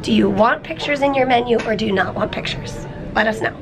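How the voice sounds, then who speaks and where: A woman's voice: a little high, a little fast. A young woman hosting a casual vlog, chatting playfully into a handheld camera at arm's length in a restaurant booth. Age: young adult. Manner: playful, chatty, musing aloud. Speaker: vlog host.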